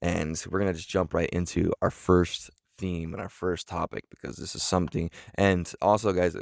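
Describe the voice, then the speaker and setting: A young man in his twenties speaks to camera in an earnest, halting way, often pausing and restarting. His voice sits very low and has a gravelly rasp. The sound is close and dry. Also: little pitch movement, no room sound. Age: 20s. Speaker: vlogger. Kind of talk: casual talk to camera.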